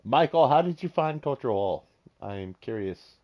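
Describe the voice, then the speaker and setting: A man's voice: medium pitch, lively intonation, measured pace, muffled recording. An American man co-hosting a podcast, chatting casually and teasing his co-host. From United States. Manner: teasing, prodding. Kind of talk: casual chat.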